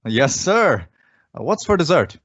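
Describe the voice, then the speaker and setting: An actor playing a well-meaning, befuddled husband and office worker in an old radio comedy. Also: male voice, a little high, big pitch swings, measured pace, fairly bright tone, full character voice. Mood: contented. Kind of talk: 1950s radio sitcom acting. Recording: dull vintage radio recording.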